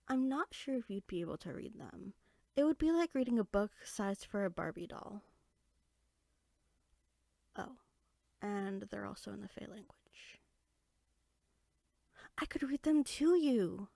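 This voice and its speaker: A woman playing a small, bookish, friendly fairy in a roleplay audio drama, speaking in a chatty, expressive, conversational way. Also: mid-range voice, gravelly, dry recording, studio quality.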